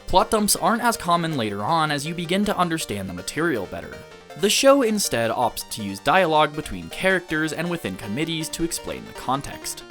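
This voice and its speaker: A man's voice: on the high side, fairly bright tone. A man reading a scripted video essay as an anime reviewer, analytical and articulate in formal wording. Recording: music behind the voice. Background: background music.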